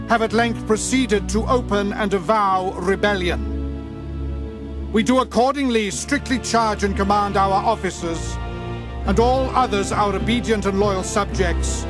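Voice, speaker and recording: Give the proclamation to a stern, haughty king. His voice is high and he declaims every formal phrase as a royal command. Music plays clearly behind him in this historical documentary reading.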